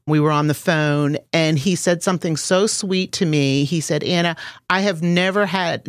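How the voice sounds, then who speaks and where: A woman's voice: sits low, no echo, studio quality. A woman hosting a podcast, chatting in an enthusiastic, rambling way with friendly asides. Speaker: podcast host. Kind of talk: casual chat.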